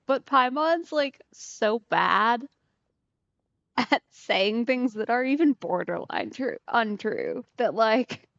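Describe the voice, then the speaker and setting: A woman's voice: fairly high pitch, dry recording, dull audio. A woman game streamer giving casual, playful let's play commentary, reacting and thinking aloud. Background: faint game music.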